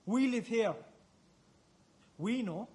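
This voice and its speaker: An actor playing an aggressive, touchy, foul-mouthed brother out for revenge, needling and repeating himself in a radio play. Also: male voice, high-pitched, expressive, slow pace, dark tone. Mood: menacing, indignant. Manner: needling, repetitive, swearing.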